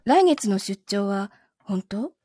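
A woman playing a possessive, coolly menacing wronged girlfriend in an audio drama, questioning calmly with soft taunts. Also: mid-range voice, slow pace, slightly rough, no echo, clean studio sound. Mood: cold interrogation. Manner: calm interrogating, softly taunting, sometimes whispering. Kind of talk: Japanese audio drama acting.

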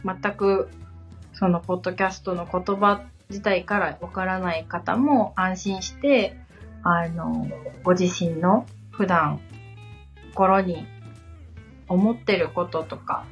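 A woman in her thirties chatting on a podcast as the assistant, polite, halting and reflective. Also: fairly low pitch. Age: 30s. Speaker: podcast assistant and guest learner. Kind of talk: casual podcast conversation. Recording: dull lo-fi sound with background music. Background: background music.

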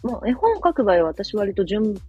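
Female guest, very husky, lively intonation, conversational explainer on a dull-sounding call. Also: mid-range voice, fairly quick, no room sound. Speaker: guest, picture book and story writer. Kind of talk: casual chat, explaining her method. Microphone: remote call connection. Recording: dull lo-fi call with background music. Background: background music.

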